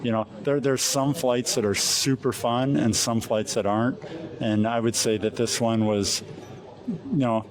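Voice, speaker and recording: An American man in a casual interview as a pilot, recounting things in a halting way with frequent pauses mid-phrase. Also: medium pitch, low-quality recording. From Alaska, USA. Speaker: competition glider pilot being interviewed. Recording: crowd chatter in the background.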